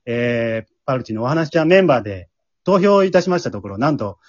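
A man with a Kansai accent hosting a casual chatty show among friends, teasing and quick to laugh, recorded remotely from home. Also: mid-range voice, expressive, lo-fi sound. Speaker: leader of an amateur drama group, hosting.

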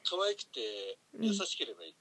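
A man's voice: high-pitched, sing-song, fairly quick, gravelly, crowd noise, low-quality recording. A man chatting casually as a guest, enthusiastic and generous with praise. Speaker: guest, audio drama editor. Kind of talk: casual chat.

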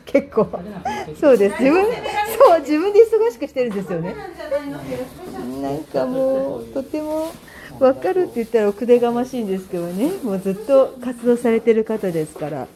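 A woman's voice: high voice, lively intonation, moderate pace. A woman hosting a casual show, chatting effusively and politely in a rambling, unscripted way. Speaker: host of an audio app show; visual artist and designer. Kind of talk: casual unscripted chat with guests and announcements. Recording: crowd chatter behind, live on the spot.

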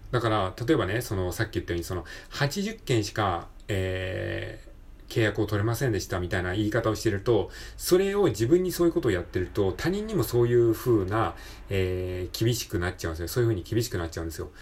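Male podcast host, rough-edged voice, lively swooping intonation, casual motivational delivery that keeps seeking agreement. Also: lowish voice, quick pace. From Japan. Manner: encouraging, conversational, repeatedly seeking agreement. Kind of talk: casual solo motivational talk.

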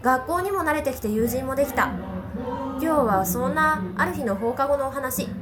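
A woman's voice: high-pitched, expressive, normal speed, over music. A woman playing an earnest, friendly and polite schoolgirl transfer student at a magic school in an amateur audio drama. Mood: polite introduction. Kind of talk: amateur audio drama acting with first-person narration. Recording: clearly noisy amateur home recording.

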